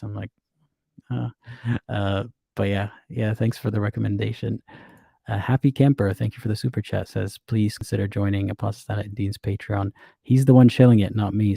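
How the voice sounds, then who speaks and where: A man with a low, gravelly voice hosts a call-in live stream, reading chat comments aloud and musing on them. His tone is very dark and mellow. The close mic leaves the sound dry, with no room around it. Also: no echo. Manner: relaxed, reads out chat comments and muses aloud. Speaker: livestream host taking callers.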